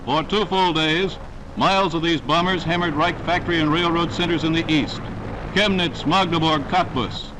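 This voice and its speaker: A man's voice: fairly high pitch, normal speed, very noisy. A man narrating a 1940s newsreel in a dramatic, declamatory scripted style, on a vintage soundtrack.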